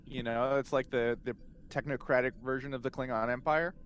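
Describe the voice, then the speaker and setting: A man's voice: mid-range voice, steady tone, fairly quick, a bit bright, slight background noise. A man, playing a tabletop game and chatting casually, thinking aloud in a hesitant, joking way. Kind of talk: casual improvised game chat.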